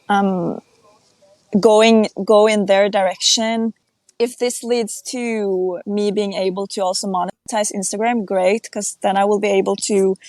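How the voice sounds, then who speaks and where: A woman's voice: mid-range voice, a little mellow, no echo. Thoughtful young woman from Norway. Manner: thoughtful, hesitant, with many restarts and ums. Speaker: guest. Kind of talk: casual interview chat.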